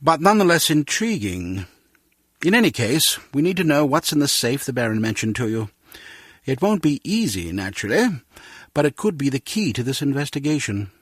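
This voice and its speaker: The chief of a spy agency in a video game. His voice is slightly rough and moves freely in pitch, yet he stays formal and measured. It is recorded dry. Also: very animated, dry recording. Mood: measured, firm.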